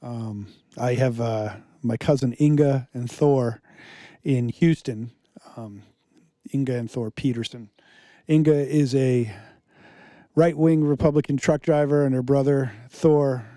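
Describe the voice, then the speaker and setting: Man, musician guest, halting speech, mellow tone, dry studio sound. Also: mid-range voice, no echo. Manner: halting, many fillers and restarts. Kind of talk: casual radio interview. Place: radio studio.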